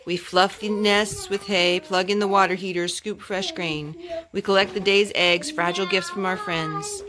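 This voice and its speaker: A young woman reading a children's picture book aloud at home, phrase by phrase in a measured, careful way. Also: medium pitch, a bit bright. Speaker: storytime host reading to her children. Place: carpeted room at home. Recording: clear background noise and clicks, homemade.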